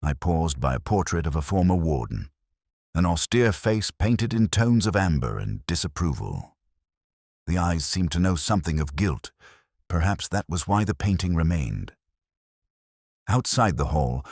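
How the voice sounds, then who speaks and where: A man playing a brooding, world-weary police inspector who narrates his case in a measured, sombre, wry literary style. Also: low-pitched, a little mellow, husky, no room sound, clean studio sound, heavily acted. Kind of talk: literary first-person detective drama narration.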